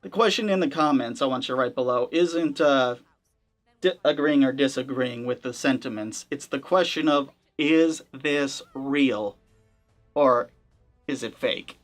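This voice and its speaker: A man's voice: mid-range voice, unhurried, faint music behind. Young male gaming host, casual to-camera commentary, skeptical and wry, mid-range voice. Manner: conversational, skeptical, wry asides. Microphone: camera-facing setup.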